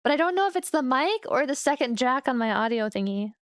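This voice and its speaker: A high-pitched young woman talking to her stream in a relaxed, chatty way, laughing easily between thoughts. The sound is close and dry, with no room around it. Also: fairly quick, clean tone, no room sound. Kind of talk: casual chat with stream viewers. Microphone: studio microphone.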